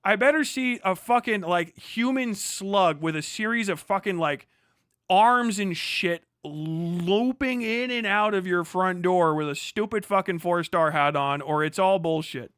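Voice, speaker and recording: A man's voice: sits high, unhurried, a bit bright, clean tone, dry recording, clean studio sound. A man hosting a livestream, giving sarcastic, exasperated and profane mocking commentary. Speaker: livestream host.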